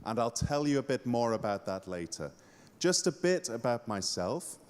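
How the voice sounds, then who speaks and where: A man's voice: mid-range voice, very animated, moderate pace. A man from Austria, a university lecturer, giving a friendly, joking welcome speech. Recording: hall reverb.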